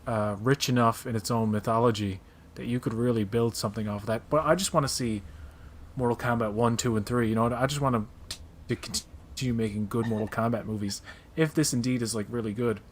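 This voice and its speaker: A man's voice: mid-range voice, a little fast, a little hiss or hum. A man chatting casually and enthusiastically, with strong opinions, as a panelist on a pop culture show. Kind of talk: casual chat about movie trailers.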